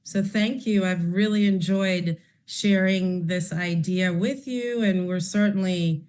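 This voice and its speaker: A woman's voice: fairly low pitch, fairly even intonation, slow, darkish tone. A woman presenter speaking earnestly and reflectively in an online session. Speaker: conference presenter. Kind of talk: closing remarks in an online session. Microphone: video call.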